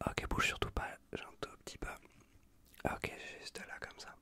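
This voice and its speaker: A man with a French accent from France whispers a casual, reassuring ASMR roleplay as a caring survivor tending a wounded stranger, breathy and close to the microphone. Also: normal speed. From Bordeaux region, France. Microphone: close Blue Yeti microphone.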